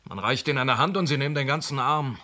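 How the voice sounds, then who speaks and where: An actor playing a matter-of-fact police inspector in a radio play. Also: male voice, medium pitch, fast, sharp tone, close to an everyday voice. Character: loyal male police inspector, assistant.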